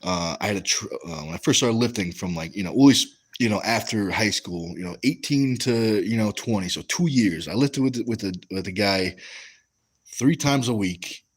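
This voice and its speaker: A man's voice: lowish voice, animated, normal speed, breathy edge. A young American man hosting a casual livestream, reading chat and rambling through stories with lots of fillers and restarts. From West Coast of the US.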